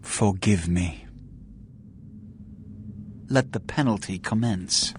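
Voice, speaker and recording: An actor playing a pompous, authoritative vampire prince in a video game, speaking in a formal, oratorical and measured manner. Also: male voice, on the low side, noisy background. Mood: grave. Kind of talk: theatrical video game acting.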